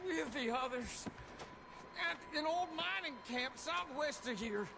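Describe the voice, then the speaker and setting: A man's voice: very high-pitched, expressive, a little slow, creaky, noisy background, heavily acted. An actor playing a scared American outlaw henchman held captive in a Western video game, pleading. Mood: fearful. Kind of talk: video game drama acting.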